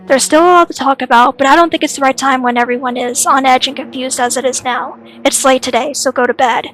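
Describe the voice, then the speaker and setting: A woman's voice: sits high, steady tone, fast, a bit bright, soft background music. A woman voicing a cold, uncompromising young student leader in a drama, argumentative and stern. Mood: cold, authoritative.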